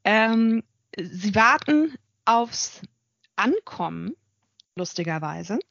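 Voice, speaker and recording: A woman's voice: mid-range voice, animated, extremely slow, gravelly, fairly bright tone, no echo, highs cut off. A middle-aged woman, an author and consultant, giving a thoughtful interview with frequent hesitations. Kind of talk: reflective interview talk.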